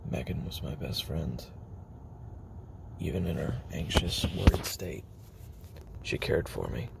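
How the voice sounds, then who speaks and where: A man performs a haunted, weary assassin confiding his memoir in an audio drama. His voice is extremely low and thick with gravelly fry, often dropping near a whisper. He speaks haltingly and broods between phrases, over clearly audible noise. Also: lively intonation, full character voice. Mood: brooding, wistful. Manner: halting, brooding, with trailing pauses. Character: haunted, weary male former child assassin recording tape memoir. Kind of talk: confessional dramatic monologue in audio roleplay. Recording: noisy homemade recording with background ambience.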